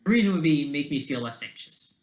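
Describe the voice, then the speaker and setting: Rambling, off-the-cuff medium-pitched solo talker. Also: lively intonation, fast. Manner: tags statements with "right?"; rambling, trails off mid-sentence. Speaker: amateur video creator.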